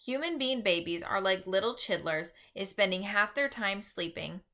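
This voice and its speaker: A woman voicing an earnest, easily exasperated gentle male giant in a children's storybook, speaking emphatically in folksy broken grammar with invented words. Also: medium pitch, expressive, moderate pace. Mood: earnest lecturing. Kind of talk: read-aloud children's storybook.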